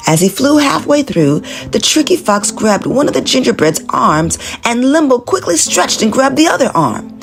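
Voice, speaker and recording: A woman narrating a children's storybook in an animated, expressive read-aloud manner. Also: on the high side, normal speed, creaky, hardly any pauses, soft background music.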